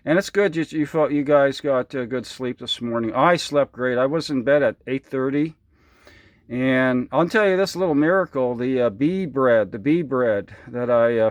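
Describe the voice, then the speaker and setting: A man's voice: medium pitch, no echo. An American man chatting casually as an amateur radio operator, rambling along in a folksy, enthusiastic way. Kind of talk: casual ham radio chat. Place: indoors at a home radio station.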